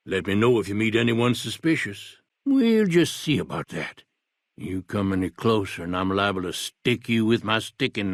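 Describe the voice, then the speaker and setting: A man's voice: lowish voice, slightly rough, no room sound, clean studio sound, heavily acted. A man voicing a paranoid, rambling older wasteland eccentric in a video game, with a folksy backwoods drawl and a suspicious, conspiratorial manner. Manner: suspicious, conspiratorial, jumps between whispers and outbursts.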